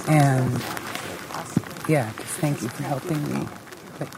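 Halting man in a very noisy field recording. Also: mid-range voice, steady tone. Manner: hesitant, halting, casual. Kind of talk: informal heartfelt thanks.

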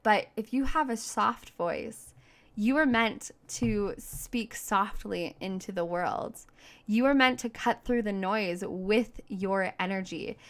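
A woman's voice: mid-range voice, takes it slowly. Emphatic, outgoing woman podcaster. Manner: emphatic, informal, slangy; outgoing, self-described big voice. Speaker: podcast host and coach. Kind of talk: motivational solo podcast talk.

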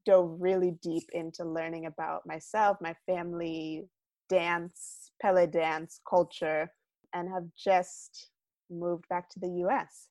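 A young woman from the United States, a guest artist, talking reflectively in an interview and often trailing off quietly. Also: on the low side, little pitch movement, slow, dry recording. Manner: thoughtful, many fillers and restarts, trailing off quietly.

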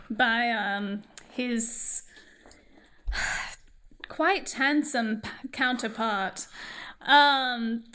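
A woman narrating an improvised comic story slowly, haltingly searching for words. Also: high voice, fairly bright tone, a little hiss or hum.

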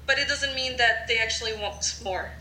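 A young French woman speaks English with a French accent in a casual podcast chat. Her voice is very bright and sits fairly high. She hedges her points with plenty of fillers, and the room around her has a noticeable echo. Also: moderate pace, slight background noise. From France. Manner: chatty, hedging with many fillers. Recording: roomy echo.

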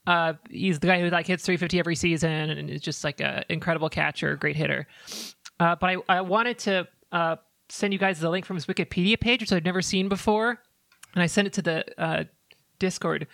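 Man, gravelly voice, rambling casual co-host, dry close mic. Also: sits high, fairly even intonation, fairly quick, fairly bright tone, dry recording. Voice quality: gravelly, filler-heavy and rambling. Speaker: podcast co-host. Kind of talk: casual podcast chat.

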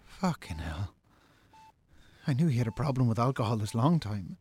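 An actor playing a farmer's worried, blunt younger brother with an Irish accent in a naturalistic radio drama. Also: male voice, medium pitch, very animated, normal speed, darkish tone, slightly rough. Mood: worried, shaken.

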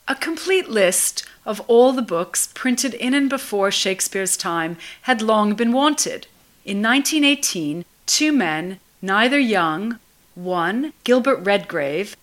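A woman's voice: mid-range voice, fairly bright tone. A woman reading a written obituary aloud as scripted narration.

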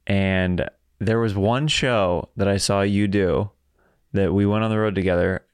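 A man's voice: lowish voice, steady tone, measured pace, smooth, no echo. A man who works as a comedian, interviewing a guest in a casual, conversational style.

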